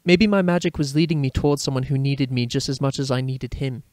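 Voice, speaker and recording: A man's voice: mid-range voice, fairly quick, darkish tone, dry recording, studio quality, close to an everyday voice. A man voicing characters in a dramatic reading of a fan fiction story. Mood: amused.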